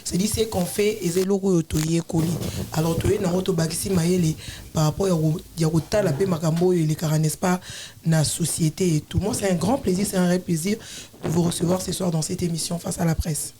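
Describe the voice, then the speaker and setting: A woman co-hosting a talk show who welcomes the guest in halting speech with many restarts. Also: lowish voice, steady tone, noisy background. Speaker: co-host.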